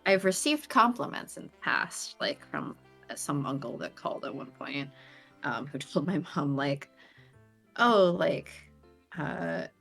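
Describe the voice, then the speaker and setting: A young woman chatting casually on a game stream, talking in a melodic, animated way with lots of pitch variation. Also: creaky. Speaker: streamer co-host.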